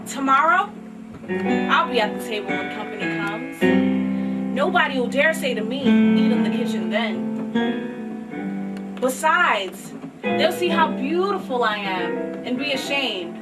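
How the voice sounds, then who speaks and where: Lady reciting as a proud defiant brother, emphatic poem delivery, highish voice, loud piano music. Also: animated, slow, long pauses, recorded from a distance, poor audio. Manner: declamatory, emphatic. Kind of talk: dramatic poetry recital.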